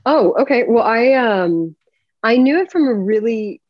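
A woman's voice: fairly high pitch, lively intonation, unhurried, dry recording. Woman, from Puerto Rico, chatty rambling guest, dull dry call audio. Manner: talkative, rambling storyteller. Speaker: actress guest. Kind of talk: casual interview conversation. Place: home room. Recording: dry, slightly dull lo-fi sound.

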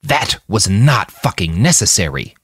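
A man's voice: lowish voice, very animated, unhurried, husky, dry recording, clean studio sound, exaggerated voice. A man voicing a blunt, exasperated, foul-mouthed young woman soldier in an audiobook.

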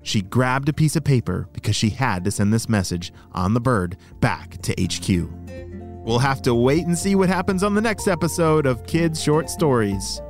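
A man's voice: mid-range voice, big pitch swings, normal speed, soft background music. A man hosting a children's story podcast, narrating and explaining things simply to young listeners.

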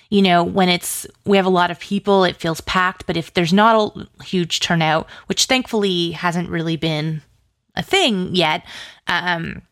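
Woman, lowish pitch, dry close sound, chatty, enthusiastic, casual interview talk. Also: fairly bright tone, dry recording. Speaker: interview guest, audio drama producer.

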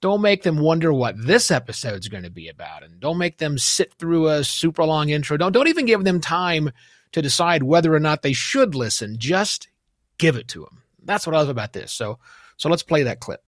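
A man's voice: fairly high pitch, very animated, moderate pace, no room sound, clean studio sound. A man hosting a podcast interview in a conversational, emphatic way, repeating words for emphasis.

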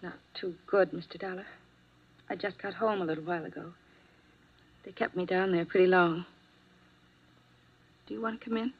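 An actress playing a weary, nervous nurse who talks quietly and hesitantly in a 1950s radio drama. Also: female voice, fairly low pitch, fairly even intonation, normal speed, pauses often, breathy edge. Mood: tired. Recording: dry, muffled old radio broadcast.

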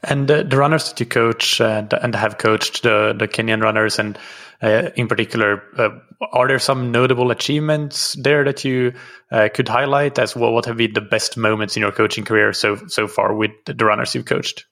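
A man's voice: medium pitch, steady tone, normal speed, gravelly, fairly bright tone. A man hosting an interview podcast, speaking in a conversational way as he introduces things and asks questions.

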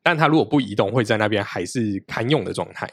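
Man, low voice, podcast guest, long explanations with restarts. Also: animated, quick pace, husky, no echo, clean studio sound. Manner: explains at length with frequent restarts and fillers. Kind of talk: casual conversational interview.

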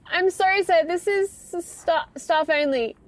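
A woman's voice: very high voice, measured pace, a little hiss or hum. A woman playing a nervous supermarket night-shift worker in an audio drama, rambling hesitantly and apologetically. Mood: polite, nervous. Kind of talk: naturalistic audio drama monologue.

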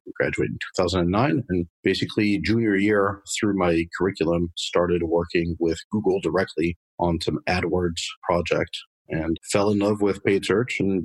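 A man in his thirties, from France, with a low and slightly husky voice. He answers interview questions in a matter-of-fact way and runs quickly through lists. The sound is dry and close-miked. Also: no room sound, poor audio. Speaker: marketing director, guest. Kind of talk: conversational interview.

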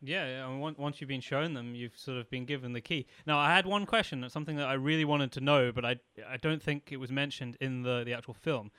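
A man's voice: medium pitch, a little fast, hardly any pauses, bright, forceful tone, smooth, no room sound. A man hosting a live stream, chatting casually and eagerly questioning his guest. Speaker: podcast host. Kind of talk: casual interview chat.

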